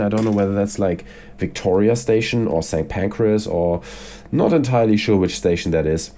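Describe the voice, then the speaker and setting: A man's voice: on the low side, dark tone, slight background noise. A man with a cold, his voice a bit congested, talking casually and with strong opinions about video games as a YouTuber. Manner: chatty and opinionated, rants with frequent "you know" and "right?". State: has a cold, voice slightly congested. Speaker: gaming YouTuber.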